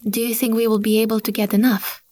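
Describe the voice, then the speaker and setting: A woman's voice: a little high, little pitch movement, fast talker, dark tone, no echo, lightly acted. An actress playing a practical, matter-of-fact young village mother in an audio drama. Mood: plain.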